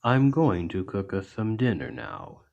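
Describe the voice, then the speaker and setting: A big orc healer acted out by a man speaks in a deep voice at a slow, measured pace. He is gentle and plain-spoken, with an earnest, reassuring manner. The delivery is that of a soothing fantasy ASMR roleplay, told to someone in his care. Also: sing-song, creaky, muffled recording, background noise, heavily acted. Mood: kindly, calm. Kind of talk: ASMR roleplay, soft storytelling to an injured listener.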